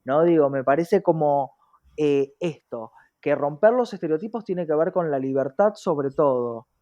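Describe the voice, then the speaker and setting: A woman's voice: low voice, expressive, normal speed, dark tone. Argentine woman chatting as interview guest, reflective, kinda muffled lo-fi call sound. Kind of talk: conversational interview explanation.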